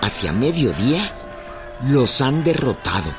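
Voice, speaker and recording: An actor narrating history gravely in a scripted radio drama. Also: male voice, mid-range voice, very animated, measured pace. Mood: grave, measured. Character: grave, measured male storyteller of history. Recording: voice over loud dramatic music.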